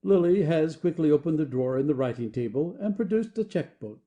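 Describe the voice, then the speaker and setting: A man reading the stage directions of an audio play as expressive scripted narration. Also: on the high side, fairly quick, highs cut off.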